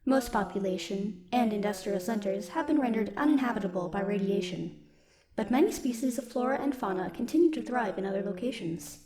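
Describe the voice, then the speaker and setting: A woman playing a stoic, dutiful military robot in an audio roleplay, speaking in a formal, measured, protective way. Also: mid-range voice, lively intonation, a loud crowd, close to an everyday voice. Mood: factual, measured. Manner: formal, measured, literal wording. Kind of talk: scripted audio roleplay, speaking softly to one listener.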